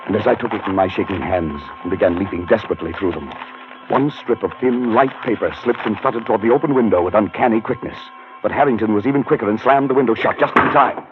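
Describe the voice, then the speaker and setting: An actor playing a haunted scholar who narrates his own tale in a grave, measured 1940s radio drama style. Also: on the low side, over music, close to an everyday voice. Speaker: narrator and lead character. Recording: tinny old radio broadcast.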